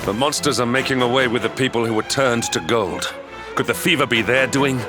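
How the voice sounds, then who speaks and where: An actor playing a noble, resolute warrior in a fantasy video game, speaking formally and sternly with grand phrasing. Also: male voice, mid-range voice, fairly quick, over music, lightly acted. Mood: grave, urgent.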